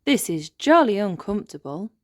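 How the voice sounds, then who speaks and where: A woman's voice: medium pitch, very animated, normal speed, no echo, clean studio sound, voice pushed up, lightly acted. Woman putting on a pompous, grumbling fairy king.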